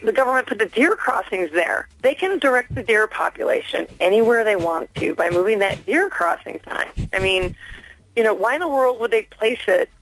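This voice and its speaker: A woman's voice: fairly high pitch, lively intonation, normal speed, a bit bright. A woman phoning a radio station as a listener, making an earnest, insistent complaint in a hesitant, spontaneous way. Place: phone call into a radio station. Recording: dry telephone line to radio studio.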